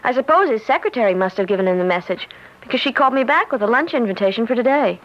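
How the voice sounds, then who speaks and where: A woman's voice: on the high side, lively intonation, fast talker, close to an everyday voice. An actress playing a polite, naive young woman in an old-time radio drama. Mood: apologetic. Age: young adult. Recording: muffled old radio recording.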